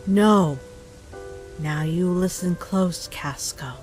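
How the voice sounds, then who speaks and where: A woman voicing a wise, nurturing mother deer in an animated comic dub, gentle and unhurried with a teasing side. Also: on the low side, faint music behind, low-quality recording. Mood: earnest, reassuring.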